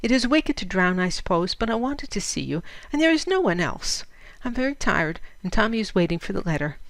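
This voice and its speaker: A woman's voice: medium pitch, big pitch swings, fairly quick, no echo. A woman voicing a weary, homesick eleven-year-old servant girl reading out her letter, plaintive and earnest, in a storybook reading. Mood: weary, despairing.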